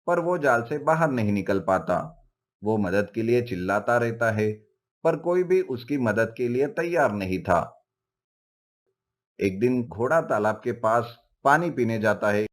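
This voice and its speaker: A man giving scripted narration for a children's moral story. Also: medium pitch.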